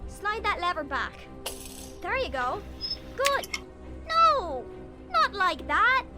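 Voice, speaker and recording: A woman's voice: extremely high pitch, sing-song, takes it slowly, loud music behind the voice, exaggerated voice. A woman voicing a tiny flying fairy-like girl, small-voiced and squealing, bossy and eager, in a fantasy audio story.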